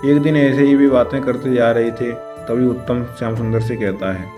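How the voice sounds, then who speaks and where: A man reads a scripted children's fable as narrator. His voice sits at an average pitch. Clear background music accompanies the storytelling. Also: steady tone, normal speed, muffled recording.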